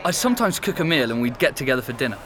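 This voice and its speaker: A man's voice: medium pitch, very animated, rapid-fire, close to an everyday voice. Actor doing young ex-housemate guy, mid voice, chatty n frank, bit of pub noise, natural audio drama. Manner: chatty, conversational. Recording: faint pub background noise.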